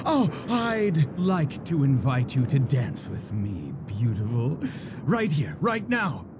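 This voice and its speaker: A man playing an excitable, stammering guard in a video game, with theatrical delivery. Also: mid-range voice, slow pace, background noise. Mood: giddy, gushing. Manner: gushing, stammering, breaking into giggles. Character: overexcited, giddy Bloodhound guard drunk on delight. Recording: music behind the voice. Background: game music.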